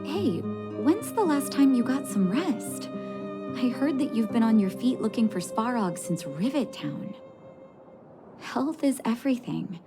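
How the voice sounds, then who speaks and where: An actress voicing a calm, caring leader of an underground resistance in a video game, measured and gracious. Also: female voice, on the high side. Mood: reassuring, caring. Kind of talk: video game cutscene dialogue. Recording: music playing behind the voice.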